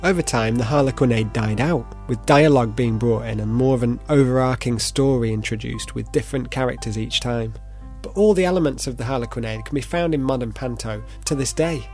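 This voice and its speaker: A man's voice: mid-range voice, fairly quick, a little mellow, faint music behind. A man narrating a video essay in a playful, theatrical manner, with informal asides. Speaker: presenter.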